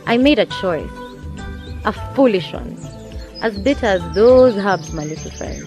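A woman's voice: medium pitch, expressive, a little slow, close to an everyday voice. Woman acting a sorrowful mother, reflective dramatic radio storytelling, music underneath. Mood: regretful. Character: weary, sorrowful mother recalling a painful past.

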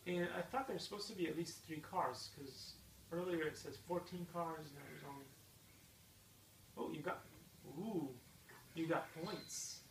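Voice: medium pitch, a little slow, slight background noise. Encouraging parent, echoey and far from mic. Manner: encouraging, patient coaching. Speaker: parent coaching a child at a video game. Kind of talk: casual chat while watching gameplay. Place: indoor room at home with a TV. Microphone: distant camera mic.

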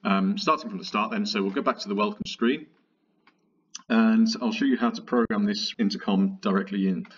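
A man narrating a software tutorial in a methodical, explanatory, informal way. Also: on the low side, normal speed, slightly rough, distant mic, highs cut off. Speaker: product tutorial presenter. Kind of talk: software walkthrough narration, conversational.

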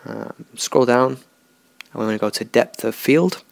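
A man talking through a software tutorial in a relaxed, unscripted way, thinking aloud as he works. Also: mid-range voice, a little slow, gravelly, dry recording. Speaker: tutorial host. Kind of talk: screen-recorded software tutorial.

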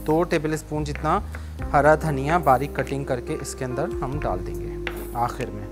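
A man's voice: medium pitch, fairly even intonation, moderate pace. A man in his thirties hosting a cooking show, patiently explaining each step as he cooks in a studio kitchen. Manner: explains steps patiently, switches between Urdu and Sindhi. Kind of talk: cooking demonstration with phone-in calls. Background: background music.

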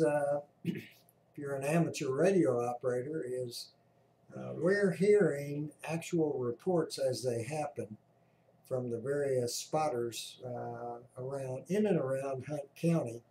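Halting mellow man in an echoey room. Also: fairly high pitch. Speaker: amateur radio operator, narrator. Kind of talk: casual explanatory commentary. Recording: roomy echo, clear background noise.

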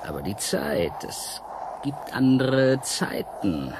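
An actor in a radio play voicing a seductive, mysterious painter from an ancient world, coaxing and dramatic with halting pauses. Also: male voice, medium pitch, very animated, noisy background. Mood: mysterious, solemn.

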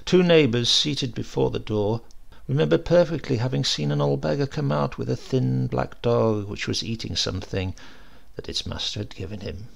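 A man reading a classic short story aloud in a measured, dramatic storytelling manner. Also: mid-range voice, vocal fry. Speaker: narrator.

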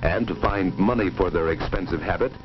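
A man's voice: deep voice, fairly quick. A man reading a grave, authoritative scripted narration in the style of a 1950s educational film. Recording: dull old 1950s film soundtrack, music.

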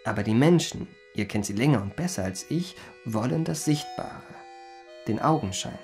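An actor playing a self-satisfied gentleman impostor who narrates his memoirs in a radio play, in ornate, pompous phrasing. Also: mid-range voice, lively intonation, moderate pace, soft background music, close to an everyday voice. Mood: pompous, pleased.

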